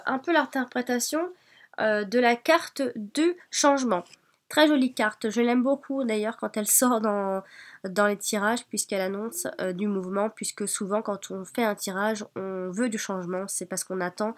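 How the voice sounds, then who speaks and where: A woman's voice: medium pitch, normal speed. A woman with a cold presenting oracle cards, hesitant, with many fillers and restarts. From France. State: has a cold. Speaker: oracle card reader. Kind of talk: casual card presentation.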